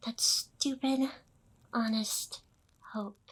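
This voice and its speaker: A woman voicing a smug, possessive ancient demoness boss in an intimate, seductive ASMR roleplay, with teasing pauses. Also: fairly high pitch, steady tone, slightly rough, exaggerated voice, low-quality recording.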